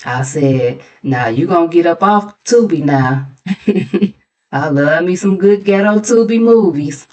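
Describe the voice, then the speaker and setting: A woman's voice: lowish voice, expressive, slow, darkish tone. An American woman hosting a gossip recap, chatting casually in a sassy, conversational way with mocking asides. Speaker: host of a gossip commentary channel.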